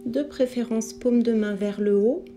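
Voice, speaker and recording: A woman leading a guided yoga relaxation as an instructor, speaking calmly in measured, instructive phrases. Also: on the high side. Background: meditation music with singing bowl tones.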